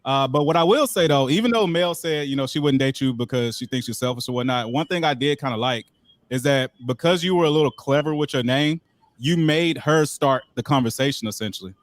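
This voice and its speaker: A man's voice: mid-range voice, brisk. A young man hosting a live show, giving blunt, profane and joking critiques. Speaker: show host.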